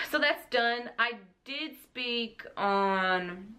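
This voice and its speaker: A woman's voice: on the high side, very slow, sharp tone. A young adult woman chatting casually to camera as a vlogger, rambling in a giggly, self-deprecating way.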